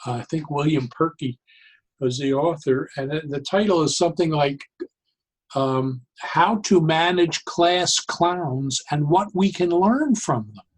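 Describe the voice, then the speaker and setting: A man speaking as an interview guest, explaining his views conversationally in halting, deliberate phrases with pauses. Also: fairly high pitch, husky, rough recording. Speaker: interview guest, therapeutic humor expert.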